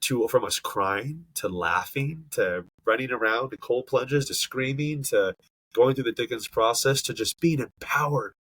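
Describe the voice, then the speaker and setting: A man's voice: medium pitch, measured pace, fairly bright tone, husky, no room sound, rough recording. A man on a podcast, talking earnestly and emphatically with a stuffy nose from allergies, often searching for words. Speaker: podcast co-host. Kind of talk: casual podcast conversation.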